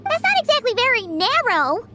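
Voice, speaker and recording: A tiny game companion creature, squeaky and childlike. The voice is extremely high and swoops around excitably, with clear noise behind it. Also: female voice, moderate pace. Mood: skeptical. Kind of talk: video game voice acting.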